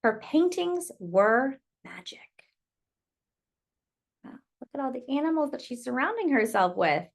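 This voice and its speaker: A woman with a fairly high, very animated voice reads a picture book aloud for young children. She delivers the story expressively and turns to her listeners with exclamations and asides about the illustrations. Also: unhurried, gravelly.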